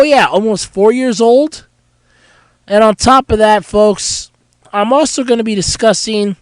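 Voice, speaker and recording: A young man hosting a casual solo podcast while sick with a cold, hoarse and stuffy, rambling candidly about his week. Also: very high voice, no room sound, low-quality recording. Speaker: podcast host.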